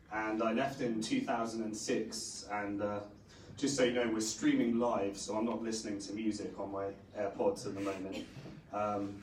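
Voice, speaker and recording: A middle-aged man with a hoarse, croaky voice gives a rambling conference talk, full of fillers. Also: on the low side. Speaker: conference speaker. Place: conference stage. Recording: echoey hall, distant mic, faint noise.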